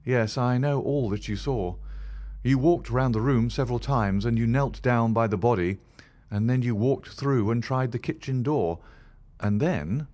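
A man voicing a sharp, clever male detective who asks quick, commanding questions. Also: mid-range voice, voice pushed up, close to an everyday voice. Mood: probing. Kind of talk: audiobook character voice.

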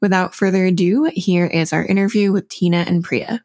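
A woman hosting a podcast, reading a scripted intro with clear, upbeat delivery. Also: on the low side, steady tone, a little fast, a little mellow, no room sound, clean studio sound. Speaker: podcast host. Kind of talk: scripted intro and interview questions.

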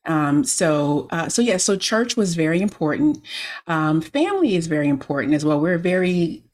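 A woman in her forties, a coach being interviewed, telling her story in a reflective, halting way with frequent restarts. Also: sits low, sing-song, darkish tone. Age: 40s.

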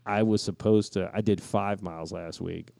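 A man with a low, mellow voice chats as a podcast co-host in a close, dry recording. He tells stories in loose slang and is quick to joke. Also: steady tone, gravelly, no room sound. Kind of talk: casual podcast banter.

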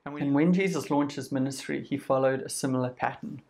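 Gravelly South African man preaching. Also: medium pitch, steady tone, fast talker, darkish tone. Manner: conversational, uses quoted voices and lists. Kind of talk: sermon.

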